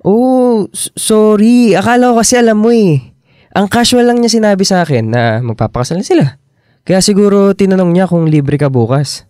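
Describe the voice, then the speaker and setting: A man acting a patient, concerned young boyfriend in a radio drama, gentle and halting, with a Filipino accent. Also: high-pitched, no room sound, studio quality, close to an everyday voice.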